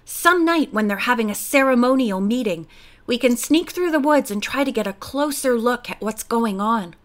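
A woman's voice: fairly high pitch, animated, moderate pace, natural voice. Woman doing joanne, earnest farm girl, plain talk.

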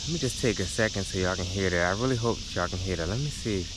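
A man's voice: deep voice, fast talker. A man, chatting upbeat to the camera as he walks through a park. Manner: chatty and upbeat, addressing viewers as y'all. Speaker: vlogger. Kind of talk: casual vlog talk to camera. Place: outdoors in a park on a sunny day. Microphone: handheld action camera at arm's length while walking. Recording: outdoor noise, insects and traffic. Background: cicadas, insects and passing traffic.

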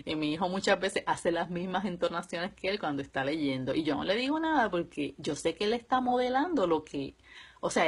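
A woman in her thirties or forties with a Puerto Rican accent and a slightly husky voice, explaining things casually and step by step to a live audience at home. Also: lowish voice. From Puerto Rico. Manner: conversational, explaining step by step with examples. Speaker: homeschooling mother and live video host. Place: small room at home, indoors. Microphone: phone or webcam at close range.

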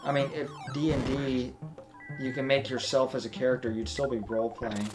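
Monotone young man narrating gameplay. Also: medium pitch, fairly quick, over music. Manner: self-described monotone, rambling explanations. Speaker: let's play host. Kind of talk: casual gameplay commentary.

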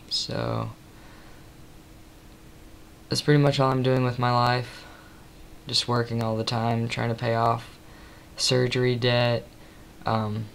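Tired young man with a gravelly voice. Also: slight background noise. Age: 20s. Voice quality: slightly raspy from tiredness. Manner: rambling, many ums, soft and low-key. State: tired, late at night. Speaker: vlogger. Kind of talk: casual webcam update. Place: small room indoors. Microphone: built-in webcam mic at close range.

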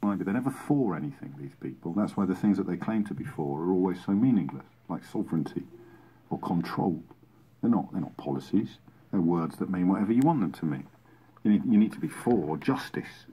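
A man's voice: low voice, expressive, fairly quick, mellow, husky, low-quality recording. A man hosting talk radio with an emphatic, opinionated monologue full of rhetorical repetition, heard from a radio speaker. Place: played through a portable radio indoors. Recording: radio speaker filmed in a room.

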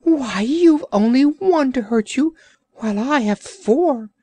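A woman's voice: sits high, lively intonation, slow pace, dark tone, no echo, pitched above the performer's own voice, exaggerated voice. A woman voicing a whiny, complaining male orc creature in a storybook reading, groaning and self-pitying.

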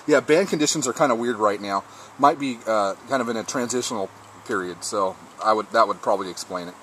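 A man's voice: fairly low pitch, fairly quick. A man talking casually as an amateur radio operator, trading call signs and test reports. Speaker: amateur radio operator testing his rig. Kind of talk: casual ham radio exchange. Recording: clearly noisy outdoor radio-shack recording.

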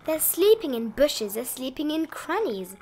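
A woman narrating a rhyming children's bedtime picture book in an expressive, sing-song read-aloud style with drawn-out emphasis. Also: high-pitched, slight background noise. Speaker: storybook narrator.

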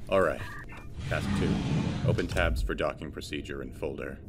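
A man plays the steady leader of a spaceship crew in an audio drama. His voice is low and businesslike, used to giving orders, with clear ambient noise behind it. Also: normal speed. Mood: commanding, focused. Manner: businesslike, instructing. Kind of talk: sci-fi audio drama acting.

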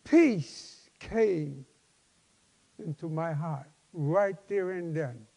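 A man in his seventies, a pastor preaching a personal testimony in an emphatic, halting, folksy way. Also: on the high side, animated, gravelly, dark tone. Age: 70s. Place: large church sanctuary, at a lectern. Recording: long hall reverb.

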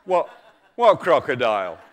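A man voicing a big hungry tiger in a children's story, speaking slowly and emphatically. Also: medium pitch, very animated, fairly bright tone. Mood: intrigued. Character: big hungry male tiger, menacing but easily intrigued.

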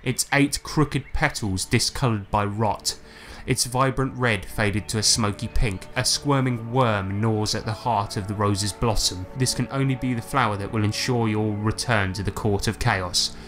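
A man's voice: on the low side, fairly bright tone, faint music behind. Man narrating a tabletop game. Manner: measured, descriptive storytelling. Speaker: game master.

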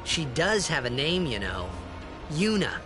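An actor playing a curious, naive, cocky young man in a fantasy video game, expressive and incredulous. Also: on the high side, unhurried, music in the background. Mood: earnest. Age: young adult.

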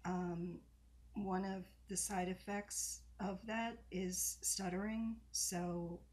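A woman in her thirties who hosts software training videos, talking casually and reacting to old clips, with a mild stutter and occasional repeated words. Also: medium pitch, fairly even intonation, slow. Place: home office with bookshelves.

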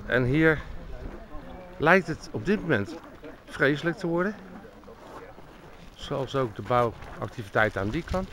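Calm man narrating an outdoor visit. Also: mid-range voice, unhurried, long pauses. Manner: calm narrating comments on the site. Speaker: tour member filming the visit. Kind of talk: casual travel video narration. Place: outdoors at a building site near a church.

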